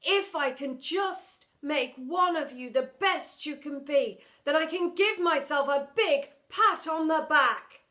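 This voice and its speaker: A fussy, put-upon male schoolteacher who speechifies louder and louder, as a woman reads him in a storybook. The voice sits high and bright, pushing each line with eager emphasis. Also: slow, few pauses, heavily acted. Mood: earnest. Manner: loud, rising, emphatic speechifying.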